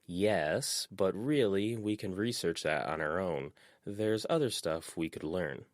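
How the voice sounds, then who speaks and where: A man voicing a watchful, practical teenage girl with a brisk, instructive manner. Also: sits low, dry recording, clean studio sound.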